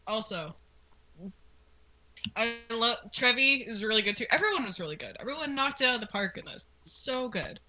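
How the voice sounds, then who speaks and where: Bright, higher-pitched woman in casual chat. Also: expressive, moderate pace, poor audio. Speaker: co-host asking questions about the series. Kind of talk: casual chat, reaction commentary.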